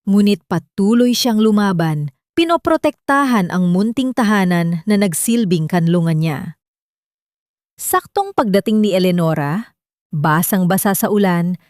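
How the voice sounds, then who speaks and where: A woman narrating a scripted audio drama in a solemn, suspenseful, measured way. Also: medium pitch, a little mellow, no room sound, clean studio sound. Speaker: story narrator.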